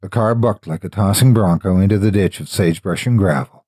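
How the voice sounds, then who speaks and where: A man narrating a scripted horror story in a measured, theatrical, emphatic delivery. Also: low-pitched, a little mellow, dry recording, clean studio sound.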